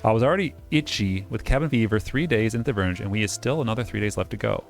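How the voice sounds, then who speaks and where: A man's voice: on the low side, fast, gravelly, faint music behind. A man doing a casual let's-play, reading game narration aloud and joking about the text as he goes. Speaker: game streamer reading a visual novel aloud.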